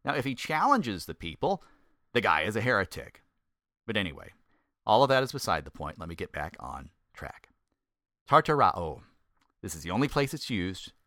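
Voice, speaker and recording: A middle-aged man with a mid-range voice teaches scripture like the former pastor he is. He talks in an easygoing, folksy way and pokes fun at himself. He leans into slow, deliberate emphasis on the points that matter, and the sound is close and dry. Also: mid-range voice, fairly bright tone, dry recording. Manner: folksy and self-deprecating, slows down to stress key points. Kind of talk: conversational Bible teaching.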